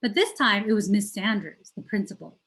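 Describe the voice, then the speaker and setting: A woman's voice: mid-range voice, expressive, brisk, a bit bright. Woman reading a children's story aloud.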